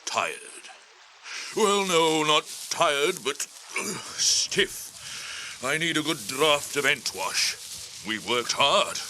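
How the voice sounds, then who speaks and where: An actor in a radio drama playing an ancient, grave tree creature who speaks ponderously with drawn-out hums. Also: male voice, a little high, animated, bright, forceful tone, slight background noise, full character voice. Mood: weary. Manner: ponderous, deliberate, halting. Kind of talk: 1980s radio drama acting.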